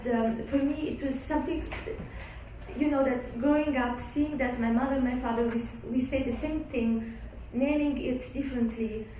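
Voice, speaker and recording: Woman from Somalia, guest author, halting and searching for words, mellow low-bright voice, very echoey lecture room, mic far off. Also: a little hiss or hum. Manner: halting, many restarts and fillers. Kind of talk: answering audience questions.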